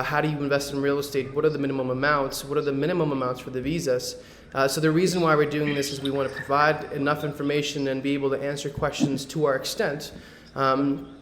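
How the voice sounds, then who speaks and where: A man's voice: medium pitch, a little hiss or hum. Man hosting a webinar, echoey room. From Medellín, Colombia. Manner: loose and conversational, many fillers and tag questions. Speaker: real estate webinar host. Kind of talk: casual presentation on a video call. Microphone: video call.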